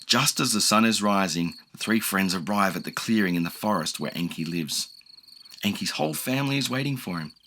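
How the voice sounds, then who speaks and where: Man reading a children's storybook aloud, lower voice, very lively intonation, bright tone, gentle. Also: brisk, a little hiss or hum. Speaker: narrator. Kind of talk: children's audiobook read-aloud.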